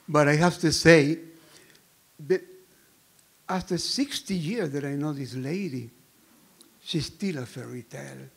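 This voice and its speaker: A man's voice: on the high side, a little slow, vocal fry, pauses often, breathy edge. An older man from the audience with a Spanish-speaking accent, telling a fond, sentimental story about an old friend. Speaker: audience member. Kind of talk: heartfelt tribute.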